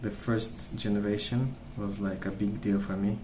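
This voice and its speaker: A young man rambling in a gentle, soft-spoken ASMR style, musing aloud without hurry. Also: on the low side, little pitch movement, normal speed. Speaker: ASMR video creator. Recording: echoey room, clear background noise.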